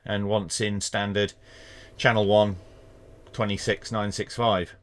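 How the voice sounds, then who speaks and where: A man giving a hands-on tech review in a measured, matter-of-fact way. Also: on the low side, flat delivery. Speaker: product reviewer.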